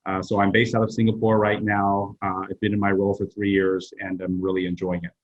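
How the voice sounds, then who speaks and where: A middle-aged man whose first language is Vietnamese, answering interview questions in a measured way with frequent pauses. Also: on the low side, completely flat delivery, lo-fi sound. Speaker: HR executive guest.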